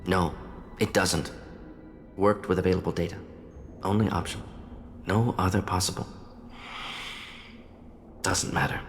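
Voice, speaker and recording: A man voicing a brisk, analytical alien professor in a video game, speaking in clipped, telegraphic phrases. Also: sits low, steady tone, slight background noise. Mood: terse, defensive. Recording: reverberant hall, faint music behind. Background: faint music.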